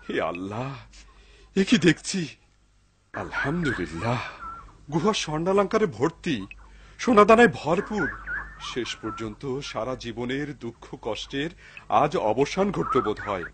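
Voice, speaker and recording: Excitable poor woodcutter, noisy soundtrack. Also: male voice, medium pitch, measured pace, pauses often. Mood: awestruck. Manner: exclaiming, awestruck, talking to himself. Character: excitable poor pious woodcutter man who finds treasure. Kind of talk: Bengali-dubbed cartoon acting.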